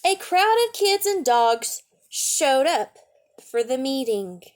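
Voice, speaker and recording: A woman reading aloud to children as a storytime teacher, gently explaining and asking questions along the way. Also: high-pitched, sing-song, slow.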